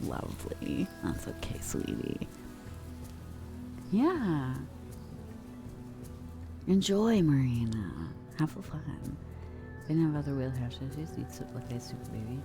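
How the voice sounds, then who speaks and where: Very low, gravelly soft-spoken young woman. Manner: relaxed, affectionate, reads and answers chat; drifts between soft talk and whispers. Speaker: streamer. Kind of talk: soft-spoken ASMR chat with viewers.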